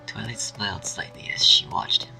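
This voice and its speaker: A man narrating a scripted dark fan story in a dramatic storytelling style. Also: fairly low pitch, fairly even intonation, normal speed, gravelly. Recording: faint music behind.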